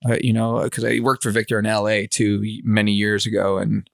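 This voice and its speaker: A man's voice: fairly low pitch, flat delivery, fairly quick, vocal fry, dry recording. Casual California guy in a dry-sounding room. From grew up in Los Angeles area, California, born in Israel. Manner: laid-back slangy talk. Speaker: podcast co-host. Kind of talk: casual podcast chat.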